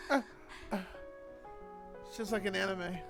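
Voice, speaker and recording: Guy with a pretty high voice doing chill gameplay commentary, wry little asides, game music loud behind him. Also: steady tone, unhurried, creaky. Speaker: gamer commentator. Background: game soundtrack.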